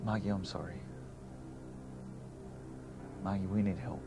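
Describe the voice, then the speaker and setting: A man's voice: low voice, fairly even intonation, measured pace, creaky, over music. An Australian man acting a frustrated husband with an anxious wife in an educational film drama. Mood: quiet, apologetic.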